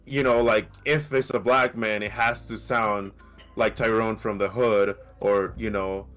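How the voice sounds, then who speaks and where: A low-pitched man with a light Mexican accent makes his case on a casual gaming podcast. He talks in an animated way, restarting his sentences and tagging them with "right?". Soft music plays faintly behind him. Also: a little slow. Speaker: podcast co-host. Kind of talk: casual debate.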